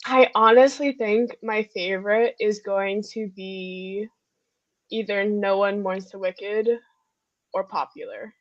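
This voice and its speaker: A woman's voice: mid-range voice, fairly even intonation, slow, long pauses, no room sound. A woman chatting casually as a podcast panelist in a group review, on slightly muffled audio. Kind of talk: casual group chat, film and musical review.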